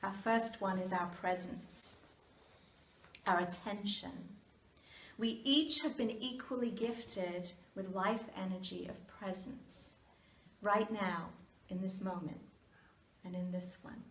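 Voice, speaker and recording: A woman delivering an inspirational, sermon-like talk with deliberate, measured phrasing and pauses. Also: medium pitch, fairly bright tone. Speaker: speaker giving a talk to a congregation. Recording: echoey room, distant mic, faint background noise.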